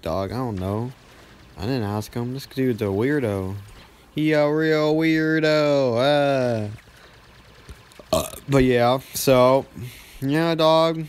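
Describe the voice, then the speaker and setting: A young man telling a story casually over gameplay, rambling with heavy slang and acting out dialogue as he goes. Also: mid-range voice. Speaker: gaming video storyteller.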